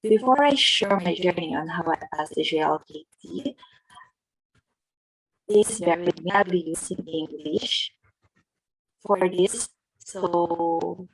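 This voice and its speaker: A woman's voice: on the low side, takes it slowly, pauses often, low-quality recording. A young adult woman from the Philippines with a Filipino accent, earnestly explaining study tips in a casual vlog. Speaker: vlogger teaching Japanese test tips.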